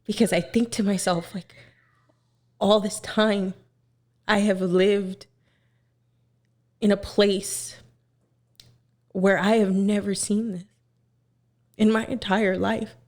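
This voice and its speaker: A woman's voice: medium pitch, flat delivery, slow pace, long pauses, darkish tone. Earnest young woman in her twenties. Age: 20s. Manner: earnest, searching for words with many fillers. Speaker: guest, singer. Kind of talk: casual podcast conversation.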